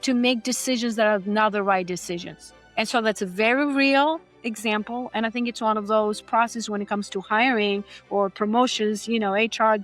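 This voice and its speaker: A woman from Greece speaks as a podcast guest, earnest and explanatory, in a mid-pitched voice. She talks conversationally and sometimes restarts a phrase. Soft music plays faintly behind her. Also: fairly even intonation, normal speed, hardly any pauses. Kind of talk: conversational interview.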